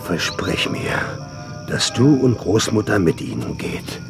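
A man playing an ailing, tender old grandfather dinosaur in a children's audio drama, gravelly and earnest. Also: on the low side, sing-song, takes it slowly, music in the background, exaggerated voice. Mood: weak, pleading. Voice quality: gravelly, weakened.

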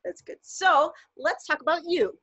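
Woman, 30s, Michigan accent, bubbly co-host, high voice, muffled dry call audio. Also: normal speed, fairly bright tone, dry recording. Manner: bubbly, laughs a lot. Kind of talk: casual video call chat. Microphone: video call.

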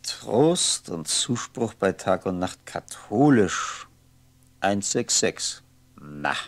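An actor playing a lonely man who mutters to himself in a wry, halting way in a 1960s German radio play. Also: lowish voice, sing-song, a little hiss or hum, full character voice. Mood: dryly sceptical.

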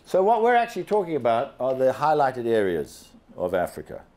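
An older man from Zambia giving an animated slide presentation to a seated audience in a large banquet hall, speaking into a handheld microphone and asking the listeners questions. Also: medium pitch, fairly quick. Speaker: guest speaker.

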